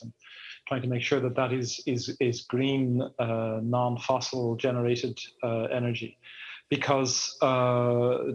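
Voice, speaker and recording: Man, middle-aged, husky, measured panel answer, muffled video call sound. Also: mid-range voice. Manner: measured, with restarts and fillers. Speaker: panelist, EU official.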